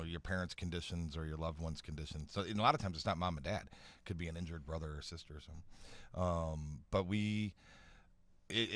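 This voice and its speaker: A man speaking informally as an expert guest in a radio interview, explaining haltingly, repeating phrases and often checking for agreement. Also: low voice, fairly bright tone, no room sound. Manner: hesitant explaining, repeats phrases, seeks agreement with "right?" and "you know". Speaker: guest expert on home accessibility. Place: radio studio.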